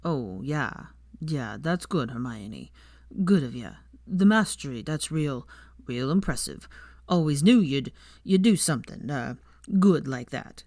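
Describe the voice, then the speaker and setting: A woman acts out a big, bearded gamekeeper man from a storybook. His voice is gruff and dropped low, and he talks in bashful, halting mutters with homely rustic grammar. He is kind but awkward. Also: animated, a little mellow, no echo, studio quality, full character voice. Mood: awkward, mumbling.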